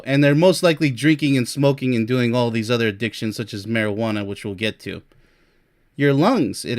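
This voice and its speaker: Forties male vlogger, mid-range voice, blunt and sarcastic, boastful, laughs at his own jabs. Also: medium pitch. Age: 40s. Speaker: relationship coach and vlogger. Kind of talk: casual monologue reading from a list. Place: Europe.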